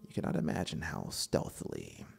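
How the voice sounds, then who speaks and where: A man's voice: a bass voice, huge pitch swings, moderate pace, creaky, pitched below the performer's own voice, full character voice. A man performing a deranged, gloating murderer who tells his own horror story, theatrical and swinging from whispers to outbursts. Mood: ominous, stealthy. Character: deranged murderous male narrator, gloating and obsessive. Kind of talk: dramatic horror story reading.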